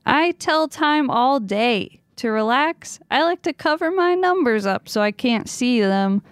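A woman voicing a calm female talking clock who speaks slowly and soothingly. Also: a little high, clean tone, no echo, studio quality.

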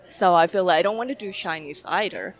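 A young woman from Thailand with a Thai accent, a film director answering interview questions in a halting, casual way with many fillers. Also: on the low side, poor audio.

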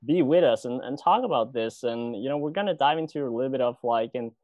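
A man's voice: mid-range voice, quick pace, a little mellow, no echo. A man hosting an interview, chatty and loose, filling his speech with "you know" and "like". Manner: rambling, many "you know" and "like" fillers. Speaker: host. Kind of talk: casual interview. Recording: dry, dull lo-fi audio.

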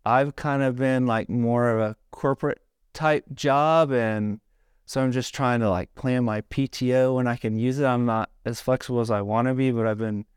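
A man's voice: mid-range voice, steady tone, normal speed, clear, resonant tone, no echo, clean studio sound. A middle-aged man talking casually, rambling with lots of "like" and "you know.". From South Carolina, born in Hawaii. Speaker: podcast guest, surfboard shaper. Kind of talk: casual conversation.

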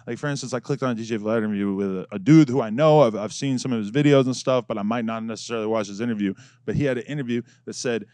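A man talking casually and rapidly in a studio interview, rambling and profane, often laughing. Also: mid-range voice, warm tone, no room sound. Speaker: podcast host being interviewed.

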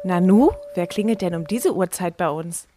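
Woman doing a puzzled mom in a school radio play, lowish voice, bit of background noise. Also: normal speed, close to an everyday voice. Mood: surprised, curious. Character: surprised mother receiving a letter from Antarctica.

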